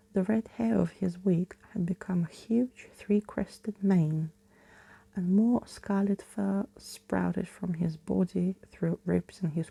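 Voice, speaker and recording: Very soft, husky woman reading ASMR stories. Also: medium pitch, no room sound, low-quality recording. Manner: hushed, often slipping into whisper. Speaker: ASMR storyteller. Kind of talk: ASMR bedtime story reading.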